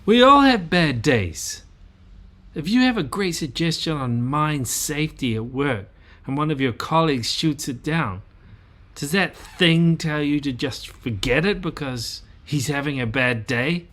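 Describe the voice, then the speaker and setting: A man's voice: fairly high pitch, lively intonation, unhurried, a bit bright. A man voicing an irritable, sarcastic wife who is combative, in an audio drama. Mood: angry. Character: irritable, sarcastic wife venting about office rivals.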